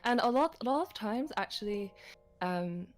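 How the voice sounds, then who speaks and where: A woman's voice: mid-range voice, animated, normal speed, soft background music. A woman explaining vocal technique on a livestream lesson. She talks casually, with many "like" fillers and vivid images. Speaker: singing instructor.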